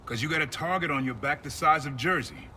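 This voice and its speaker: Bright-voiced, streetwise middle-aged uncle. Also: male voice, a little high, a little fast, a little hiss or hum. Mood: casual, guarded. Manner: direct, casual, avuncular. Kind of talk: video game cutscene acting.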